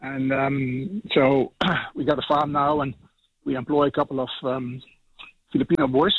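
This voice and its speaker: A man's voice: mid-range voice, steady tone, moderate pace, a little hiss or hum. A middle-aged man with a Dutch accent calling in to a radio show, talking in a hesitant, casual way with many ums and restarts. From Netherlands. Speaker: caller, farmer. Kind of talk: casual phone-in chat. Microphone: telephone. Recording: tinny husky phone line.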